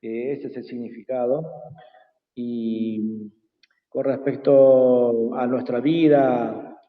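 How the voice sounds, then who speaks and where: Quechua-native man, unhurried, halting; tinny call audio with a long reverb tail. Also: medium pitch, steady tone. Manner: hesitant, many pauses and fillers. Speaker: invited speaker. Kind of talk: slow reflective talk in an online workshop. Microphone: video call.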